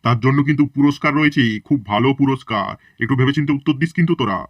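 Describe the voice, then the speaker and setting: A man's voice: mid-range voice, rapid-fire, no room sound, lo-fi sound, natural voice. A man voicing a sly cartoon schoolteacher who talks in a sweet, mock-kind way. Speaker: teacher. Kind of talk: cartoon voice acting.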